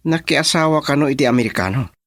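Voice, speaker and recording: An actor playing a lively, teasing, friendly young man in a radio drama, with an Ilocano accent. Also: mid-range voice, quick pace, no echo, studio quality. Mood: chatty, teasing.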